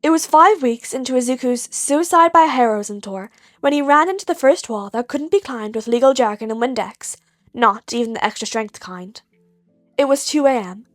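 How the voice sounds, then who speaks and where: A woman's voice: on the high side, lively intonation, normal speed, no echo, studio quality, soft background music. A woman reading a scripted fan fiction story aloud as its narrator. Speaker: podfic narrator.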